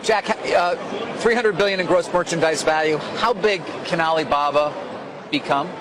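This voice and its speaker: Measured male TV anchor over noise. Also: on the high side. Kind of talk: live TV interview. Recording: background noise.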